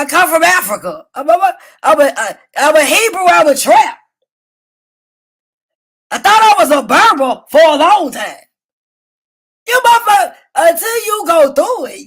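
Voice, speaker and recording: High-voiced woman livestream host, brash, cussing, storytelling. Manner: loud, profane, animated storytelling. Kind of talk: casual livestream commentary. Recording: low-quality phone stream.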